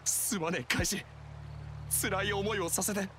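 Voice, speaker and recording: An actor playing a timid, remorseful young man in a game cutscene, speaking softly and blaming himself. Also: high voice, fairly even intonation, moderate pace, background noise, lightly acted. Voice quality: soft, self-reproaching. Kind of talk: Japanese game voice acting.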